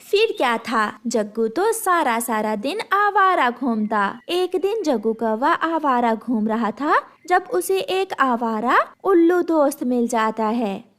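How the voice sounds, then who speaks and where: A woman's voice: sits high, expressive, slow, a bit bright. A woman narrating a scripted children's story as its storyteller.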